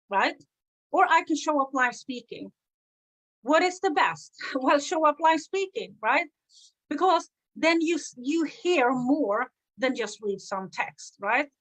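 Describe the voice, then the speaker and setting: A middle-aged woman from Sweden with a Swedish accent, a coach giving an earnest, energetic live-streamed talk with many "right?" checks. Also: sits high, rough recording. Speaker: online business coach.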